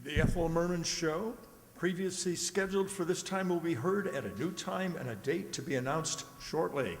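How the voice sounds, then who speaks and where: A man's voice: fairly high pitch, moderate pace, natural voice. A man playing a formal announcer in 1940s radio style. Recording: echoey hall with prominent music. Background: music.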